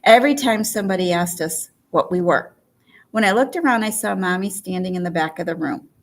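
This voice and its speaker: A woman narrating a first-person story as an audiobook reader, in an expressive, storytelling way. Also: fairly low pitch, a little fast.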